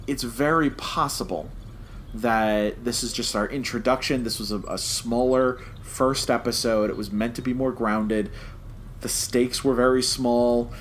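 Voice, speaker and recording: A man's voice: mid-range voice, a little hiss or hum. A man co-hosting a podcast, giving an opinionated review in an emphatic way, repeating phrases to drive points home. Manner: emphatic, repeats phrases for stress, checks with "okay?". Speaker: podcast co-host.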